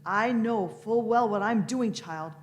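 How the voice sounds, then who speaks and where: A woman's voice: medium pitch, slow pace, close to an everyday voice. Woman playing a testy old time traveler, noisy recording. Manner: fussy, condescending, repeats phrases. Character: testy, patronising elderly time-traveling Doctor. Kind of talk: sci-fi radio play acting.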